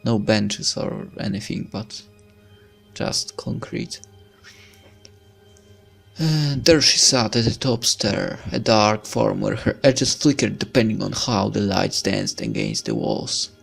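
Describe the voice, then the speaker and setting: A man's voice: fairly low pitch, very animated, slow pace, creaky, breathy edge, soft background music. A man reading a visual novel aloud as narration, breaking into casual commentary as a let's player.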